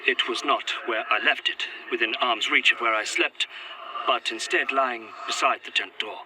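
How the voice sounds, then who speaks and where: Actor as anguished Victorian gentleman, noisy recording. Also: medium pitch, lightly acted. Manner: formal, old-fashioned diction, hesitant. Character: anguished, formal Victorian gentleman, fearful and resolute. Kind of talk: found-footage audio drama acting.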